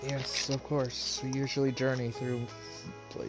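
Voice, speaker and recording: A man's voice: mid-range voice, flat delivery, measured pace. A man giving casual live commentary while streaming a game. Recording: game music loud behind voice. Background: loud game music and effects.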